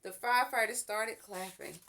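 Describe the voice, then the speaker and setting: A woman's voice: mid-range voice, normal speed, creaky. A woman reading a children's story aloud expressively, as if to young listeners. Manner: expressive, with character voices and asides to listeners.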